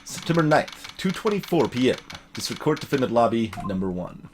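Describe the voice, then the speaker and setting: A man's voice: medium pitch, very animated, brisk, background noise. A man hosting a let's play with casual commentary, reading captions aloud and adding wry, rambling asides.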